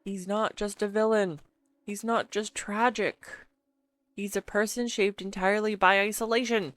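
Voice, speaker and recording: A woman playing an earnest, bashful, talkative girlfriend in an intimate audio roleplay, speaking straight to the listener in a halting, teasing manner. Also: mid-range voice, steady tone, fairly bright tone, dry recording, natural voice. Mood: earnest, absorbed.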